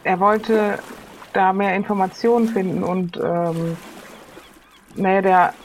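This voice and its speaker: A woman's voice: mid-range voice, a little hiss or hum. A woman playing an improvised role-playing game in casual chat, thinking aloud hesitantly.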